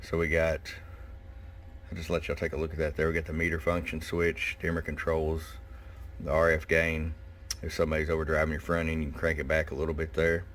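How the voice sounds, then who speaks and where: A man giving a casual, hands-on product review in a folksy, unhurried way with pauses. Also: rumbling low, completely flat delivery, fairly bright tone. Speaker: shop owner presenting product. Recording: clear background noise and room ambience. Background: background noise.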